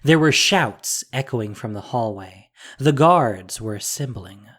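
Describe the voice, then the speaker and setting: Expressive audio drama narrator. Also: mid-range voice, unhurried. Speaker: podcast narrator and producer.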